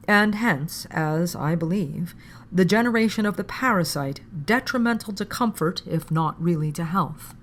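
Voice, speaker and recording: A woman reading an old formal text aloud in an audiobook style, with measured, deliberate phrasing. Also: lowish voice. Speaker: podcast host reading aloud.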